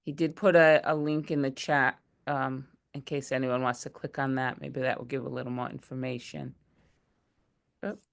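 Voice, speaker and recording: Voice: mid-range voice, little pitch movement, normal speed, vocal fry. A moderator reading out audience questions from a chat over a video call, with brief asides. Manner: reads chat comments aloud, brief asides.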